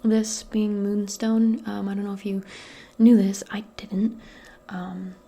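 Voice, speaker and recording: A woman speaking softly and breathily, often near a whisper, in a gentle, casual ASMR role play aimed closely at the listener. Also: mid-range voice, level tone, takes it slowly, gravelly. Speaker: ASMR performer role-playing as a modern witch. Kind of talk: soft-spoken ASMR role play, personal attention.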